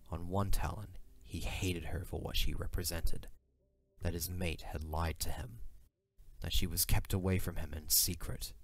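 Deep-voiced male narrator. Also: no room sound. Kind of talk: scripted dramatic fantasy narration. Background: music.

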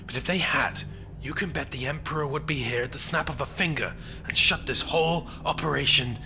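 An actor playing a haughty, stern military commander in an audio drama, with a commanding, clipped, disdainful manner. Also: male voice, mid-range voice, brisk, natural voice. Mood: stern, guarded. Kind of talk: fan audio drama acting. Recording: loud film-style music behind.

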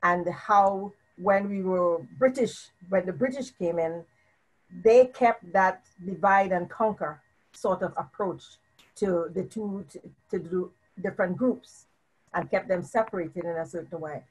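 A woman's voice: fairly low pitch, measured pace, poor audio. An older woman from Trinidad, speaking as a panelist in an online discussion. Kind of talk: panel discussion over video call.

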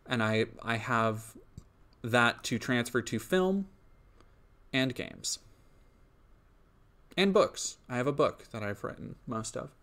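A man's voice: mid-range voice, takes it slowly, long pauses, a bit bright. Rambling, sleepy young man streaming. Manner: rambling, trails off with frequent ums and restarts. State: a little sniffly and sleepy. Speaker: streamer and indie game developer. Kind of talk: casual stream Q&A, reading chat.

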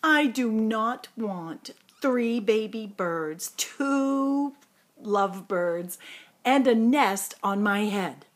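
Woman voicing an exasperated storybook elephant, swooping pitch. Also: lively intonation, very slow, full character voice. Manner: exclaiming, incredulous questions. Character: distressed, incredulous, exasperated male cartoon elephant from a picture book.

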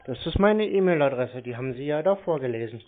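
A man chatting casually on a phone call in a deadpan, dithering, colloquial way. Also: mid-range voice, quick pace, slight background noise. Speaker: scambaiter playing along with caller. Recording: thin narrowband phone-like sound.

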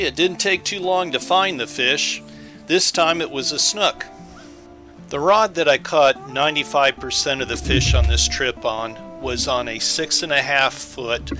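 A man's voice: medium pitch, bright, forceful tone. A man giving scripted voice-over narration as an angler recounting his fishing trip.

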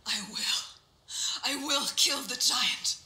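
A woman's voice: on the high side, takes it slowly, sharp tone, heavily acted. A woman playing a defiant, haunted young warrior in a video game, speaking with intense resolve. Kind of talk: dramatic video game acting. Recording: echoing space, faint noise.

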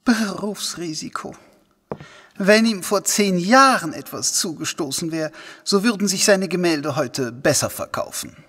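Wealthy, unpleasant gentleman for audio drama: affected, mock-polite, callous, very animated intonation, slightly raised husky register. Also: high voice, a little slow, long reverb tail, exaggerated voice. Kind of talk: German audio drama acting.

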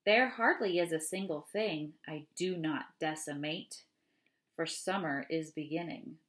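Slow-paced woman reading aloud. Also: deep voice. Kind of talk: casual chat and read-aloud of children's poems. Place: small home room, indoors. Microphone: webcam or laptop mic at desk distance.

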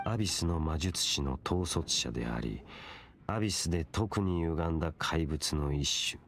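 Casting: a cold, solemn young wanderer for a fantasy game, bottom-register voice, unhurried and formal. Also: male voice, rumbling low, expressive, vocal fry, fairly bright tone, slight background noise, exaggerated voice. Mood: grave, measured. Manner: measured, stern, detached. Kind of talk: Japanese game voice acting.

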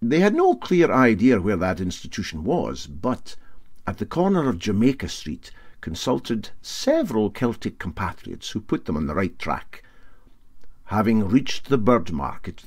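Scottish man narrating with lively intonation. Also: fairly low pitch, no room sound, studio quality. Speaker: audiobook narrator. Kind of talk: scripted storytelling narration.

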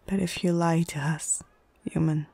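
A woman playing a stern, proud female orc chieftain in a whispered ASMR fantasy roleplay, speaking in short, measured phrases with a husky, breathy voice. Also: deep voice, steady tone, warm tone, no room sound, full character voice.

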